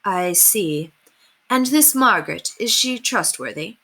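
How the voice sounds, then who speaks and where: A woman voicing a kind, refined headmistress in a storybook, gracious and measured, affectionate but firm. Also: mid-range voice, expressive, bright, forceful tone, husky, heavily acted. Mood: gently probing.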